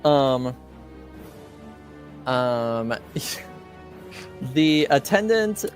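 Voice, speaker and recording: A man improvising narration as game master of a tabletop roleplaying game, halting as he searches for words. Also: mid-range voice, lively intonation, soft background music.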